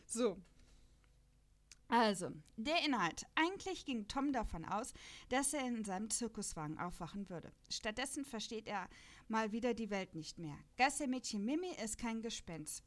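A young woman hosting a casual chat podcast, talking in a lively, bubbly way and laughing often. Her voice sits a little high. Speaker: podcast host.

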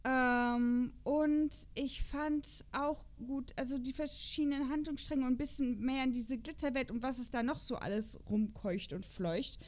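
A woman's voice: high voice, unhurried, hardly any pauses. A woman co-hosting a podcast in a casual, unscripted chat, rambling along and often checking for agreement. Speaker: podcast co-host reviewing children's audio dramas.

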